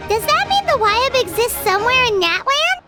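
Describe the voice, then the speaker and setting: A woman's voice: very high voice, very animated, normal speed. A woman voicing a small, childlike, curious fairy companion who chirps along in a video game. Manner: refers to herself in the third person, exclaiming. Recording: music behind the voice.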